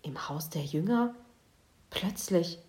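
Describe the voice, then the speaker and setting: A woman narrates a children's Bible story. Her pace is unhurried and her pitch swings widely. She moves between hushed near-whispers and ringing exclamations. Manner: expressive, shifting from hushed to exclaiming.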